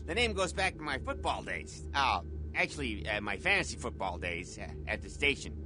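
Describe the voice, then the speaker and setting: An actor playing a chummy, dim, eager night-shift security guard in a comic video game, bumbling and over-friendly. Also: male voice, mid-range voice, very animated, moderate pace, music in the background, lightly acted.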